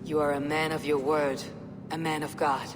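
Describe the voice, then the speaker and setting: A low-pitched female Viking warrior speaks in a long reverberant space with faint music behind. She is terse, stern and defiant. A woman acts her out for a video game. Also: flat delivery, normal speed, lightly acted. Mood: stern, challenging. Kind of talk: video game dramatic acting.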